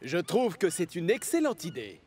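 A man's voice: high-pitched, huge pitch swings, normal speed, crowd noise. A man voicing a businesslike male railway director in a children's cartoon. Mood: brisk authority.

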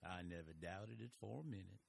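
A man's voice: low voice, brisk, gravelly, slightly rough, no room sound, heavily acted. An actor playing a folksy, wry older male investigator who narrates his story. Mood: teasing. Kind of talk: audio drama narration and acting.

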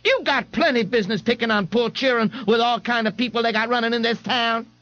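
A man's voice: very high voice, fairly quick, a bit bright, no room sound, muffled recording, pitched above the performer's own voice. A man voicing a protective, fretful older mother.

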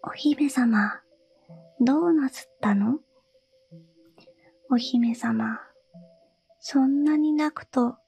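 A woman voicing a polite, gentle frog from a fairy tale in a soft whisper. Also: high voice, drawn-out words, gravelly, pauses often, husky, exaggerated voice. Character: slimy bloated frog in a spring, polite and gentle.

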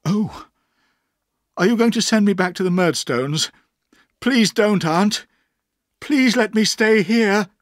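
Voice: medium pitch, huge pitch swings, slow pace, long pauses, dark tone, husky, dry recording, clean studio sound, pitched above the performer's own voice, exaggerated voice. A narrator voicing a timid young boy in a storybook reading, hesitant and earnest in manner. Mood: pleading. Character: timid, anxious, pleading orphaned young boy.

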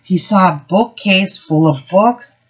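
Middle-aged woman, preschool story time, slow and animated, lowish pitch, dull webcam sound. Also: husky. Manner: simple, expressive, instructive. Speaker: preschool teacher. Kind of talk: story time for young children, explaining words and reading aloud. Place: small room at home. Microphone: built-in webcam or laptop mic.

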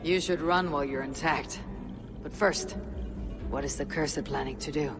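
A woman's voice: deep voice, normal speed, over music. An actress playing a stoic female Viking warrior in a video game, terse and resolute. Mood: brusque. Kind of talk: video game cutscene acting.

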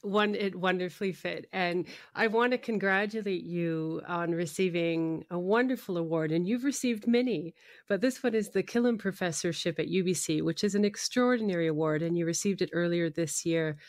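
A woman's voice: fairly low pitch, normal speed, dry recording. A woman presenting and interviewing as a radio host.

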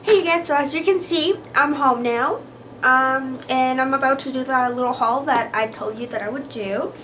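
A woman's voice: high-pitched, moderate pace, soft background music. A young woman from Utah chatting casually on a vlog, full of "like" and "um", filmed on a handheld camera in her car and then in her bedroom. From Utah, USA. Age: young adult. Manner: chatty, lots of "like" and "um", excitable. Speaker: vlogger. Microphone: handheld camera at arm's length.

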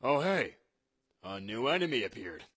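A man voicing a brash, shameless young male student in a fan-made parody cartoon dub, defensive and loud. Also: medium pitch, very animated, normal speed, breathy edge, dry recording.

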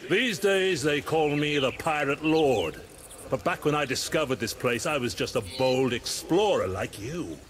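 Hearty old pirate ghost, animated. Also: male voice, fairly high pitch, unhurried, slight background noise. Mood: warm, encouraging. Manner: theatrical, storytelling. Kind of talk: scripted video game character acting.

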